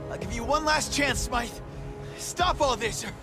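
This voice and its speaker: An actor playing a wisecracking, brave young superhero in a video game cutscene, youthful and quippy. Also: male voice, very high-pitched, measured pace, big-room sound, over loud music. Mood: stern.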